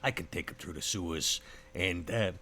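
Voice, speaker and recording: A man's voice: low voice, normal speed, bright, forceful tone. A man playing a tabletop roleplaying game, casually describing his character's actions in colloquial, offhand speech.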